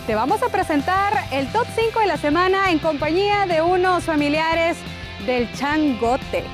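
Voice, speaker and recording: A woman's voice: very high-pitched, animated, normal speed, music in the background. A woman hosting a sports show segment, presenting to camera outdoors with a lapel mic. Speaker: show host. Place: outdoors in a park.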